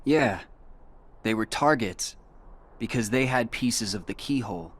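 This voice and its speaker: A man's voice: mid-range voice, measured pace, close to an everyday voice. An actor playing a reserved, brooding teenage boy hero in a video game, protective and self-blaming, in a cool, understated, serious manner. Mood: calm, friendly.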